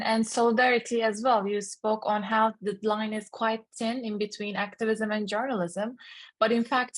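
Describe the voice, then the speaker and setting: A woman moderating a discussion in formal remarks, often pausing mid-sentence as she goes. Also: medium pitch. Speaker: webinar moderator.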